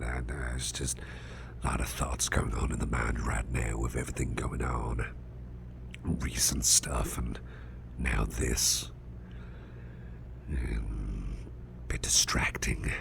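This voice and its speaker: A man playing a gruff, flustered boss in an ASMR roleplay, muttering haltingly in a growly, breathy half-whisper and repeating himself. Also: a bass voice, noisy background, heavily acted. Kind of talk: ASMR roleplay, spoken softly to the listener.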